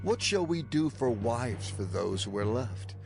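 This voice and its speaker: A man's voice: medium pitch, big pitch swings, measured pace, creaky. A man playing a sorrowful, compassionate Israelite in a dramatized scripture production. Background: prominent instrumental music.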